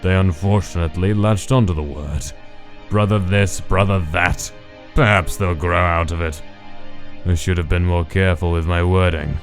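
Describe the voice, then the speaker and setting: Man acting cold, slow, very deep-voiced scientist. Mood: irritated, clinical. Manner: slow, deliberate, clinical, detached. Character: cold, obsessive male scientist keeping experiment log. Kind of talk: dramatic comic dub narration. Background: music.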